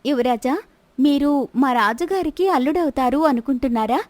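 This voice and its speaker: A bold young princess in disguise as a woman storyteller plays her. Her voice is high and she questions people in a probing, teasing way. The sound is close and dry, like an audio storybook. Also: moderate pace, darkish tone, dry recording, natural voice. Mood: polite, probing.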